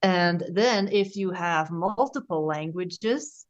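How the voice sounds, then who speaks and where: Female podcast host, mid pitch, thoughtful and steady, muffled lo-fi recording. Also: slow. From Belgium. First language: Flemish. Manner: thoughtful, explains in steady full sentences. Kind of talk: casual conversation.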